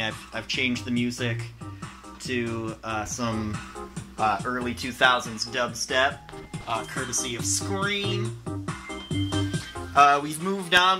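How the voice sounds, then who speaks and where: High-pitched young man, slangy and self-mocking. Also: bright, forceful tone. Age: 20s. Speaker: host of a home cooking show. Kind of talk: casual comedic cooking vlog. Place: small home kitchen indoors. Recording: music playing behind, food frying. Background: music, sizzling pan.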